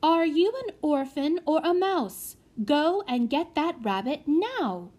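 Woman playing a bossy, high-voiced young girl. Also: sing-song, slow, darkish tone, exaggerated voice. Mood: snappish. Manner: commanding, snappy. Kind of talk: children's storybook character voice.

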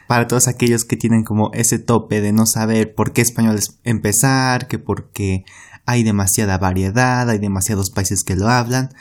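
A man's voice: fairly low pitch, fairly even intonation, moderate pace. A Mexican man who teaches Spanish, co-hosting a casual podcast in a chatty way and repeating words for emphasis.

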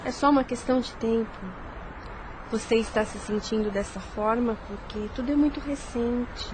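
A woman's voice: fairly high pitch, fairly even intonation, moderate pace, natural voice. A woman playing a devoted, anxious woman in love, tender and melodramatic, in a radio soap opera. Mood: soothing. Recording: noisy audio with ambient sound.

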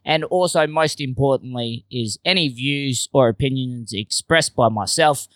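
A man's voice: mid-range voice, unhurried, fairly bright tone, no echo. A man, a comedian telling stories in casual chat, swearing and laughing as he goes. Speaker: podcast guest comedian.

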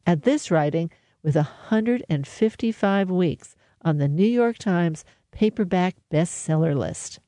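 A woman hosting a radio show, reading a measured scripted announcement. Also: on the low side, lively intonation, mellow, no room sound.

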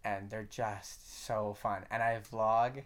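Excitable young man rambling casually. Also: fairly low pitch, slow. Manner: excitable, rambling, swears for emphasis. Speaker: vlogger. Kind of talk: casual chat.

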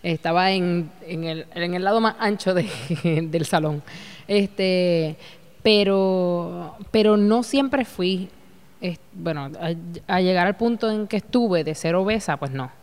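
A woman with a Puerto Rican accent, a guest in a casual interview, relaxed and a bit hesitant, filling pauses. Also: fairly low pitch. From Puerto Rico.

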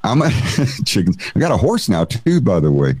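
Brief: male stream host, slightly low voice, loose and chatty delivery, casual home setup. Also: huge pitch swings, normal speed, darkish tone, husky. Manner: rambling and chatty, trails off. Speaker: livestream host. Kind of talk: casual livestream chat.

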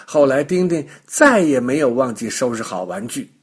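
Guy reading a kids bedtime story, really animated, takes his time, bit husky. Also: medium pitch. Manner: animated, unhurried, with gentle interjections. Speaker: children's storyteller. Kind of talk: expressive narration of a bedtime story for small children.

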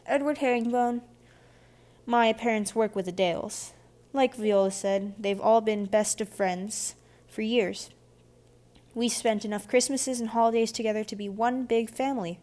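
A woman's voice: fairly high pitch, steady tone, a little slow, a little mellow, natural voice. Woman playing a chatty, bookish young boy. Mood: eager. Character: bookish, chatty, blunt eleven-year-old boy. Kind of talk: children's audiobook character dialogue.

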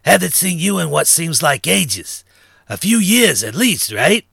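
A man's voice: on the high side, very animated, unhurried, gravelly, a bit bright, slightly rough, no room sound, clean studio sound, voice pushed up, exaggerated voice. A man voicing a short, thin, sly underworld fence and information broker in a narrated story, with chummy, streetwise slang. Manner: streetwise gangster slang, chummy and self-assured. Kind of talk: acted character dialogue in a narrated story.

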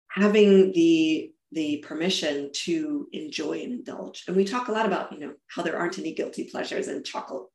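A woman from Germany answers interview questions about her work with eager, explanatory energy and many small restarts. Her voice sits on the low side. The room around her rings with echo. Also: darkish tone. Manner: enthusiastic, explanatory, with frequent restarts and fillers. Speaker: guest, event business founder.

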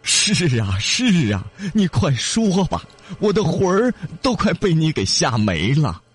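A man's voice: a little high, big pitch swings, a little slow, a little mellow, slightly rough, pitched above the performer's own voice, heavily acted. A man voicing a frightened old fisherman in a storybook tale, begging a demon in a raised, flustered voice. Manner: flustered, pleading.

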